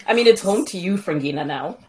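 A woman's voice: fairly low pitch, expressive, quick pace, a little mellow. A woman co-hosting a podcast, speaking in a casual, chatty style with listeners and guests. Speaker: podcast host. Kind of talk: casual podcast introduction and chat.

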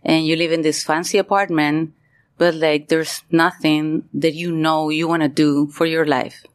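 Candid low-voiced Venezuelan woman. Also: little pitch movement, unhurried. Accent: Venezuelan Spanish. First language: Spanish. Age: middle-aged. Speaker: guest, artist. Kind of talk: casual interview storytelling.

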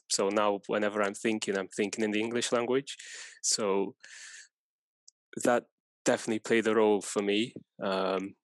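A man from Afghanistan whose first language is Farsi, speaking hesitantly in a reflective podcast conversation, full of pauses and fillers. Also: lowish voice, no echo, rough recording. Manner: hesitant, many fillers, soft-spoken. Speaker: podcast co-host.

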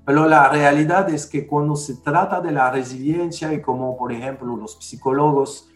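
A man's voice: fairly high pitch, fairly even intonation, normal speed, roomy sound, faint music behind. A man from France with a French accent tinged with Dominican speech, hosting a casual panel talk and hesitating often with fillers and restarts. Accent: French, with Dominican touches. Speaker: podcast host.

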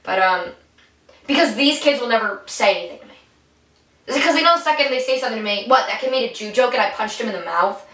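Fast-talking young woman in an echoey room. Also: a little high, lively intonation, fairly bright tone. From New York State. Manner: fast, rambling storyteller, swears freely. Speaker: podcast co-host. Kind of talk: casual chat. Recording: echoey room, mic at a distance.

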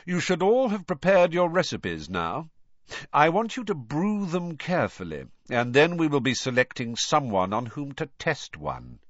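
A man acts out a cold, spiteful schoolmaster from a storybook. He gives curt commands with a silky menace, swinging widely in pitch. The recording is dry and slightly dull. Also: huge pitch swings, moderate pace, fairly bright tone, dry recording, heavily acted. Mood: cold, menacing. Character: cold, sneering, spiteful male potions teacher. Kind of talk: audiobook character voice.

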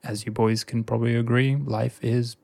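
A man's voice: mid-range voice, flat delivery, normal speed, creaky, warm tone. A young man in his twenties from Indonesia, a podcast guest telling his story in an easygoing, chatty way. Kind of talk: casual interview chat.

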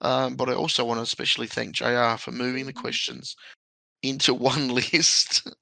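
A man hosting a casual podcast, chatty and quick to laugh at his own stories. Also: mid-range voice, little pitch movement, vocal fry, pushed and bright, no echo. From Australia. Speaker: podcast host.

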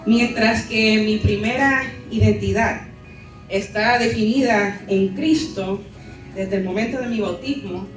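A woman pastor from Puerto Rico, raised in New York, with a Nuyorican Spanish accent, giving an earnest and measured conference talk. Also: mid-range voice. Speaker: pastor and lecturer. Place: conference hall. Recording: echoey hall, distant mic, faint music.

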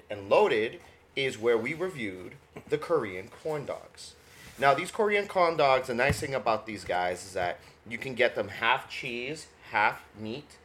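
Young New guy, low voice, animated food-podcast co-host who laughs a lot. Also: slight background noise. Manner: animated storyteller, laughs often, gets loud when excited. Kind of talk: casual chat.

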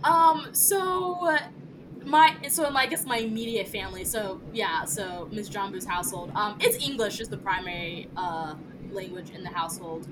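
A woman's voice: medium pitch, normal speed, noisy background. A young woman co-hosting a podcast in casual chat, whose family is from Cameroon and whose first language is French, talking in a chatty way with many fillers. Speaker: podcast co-host.

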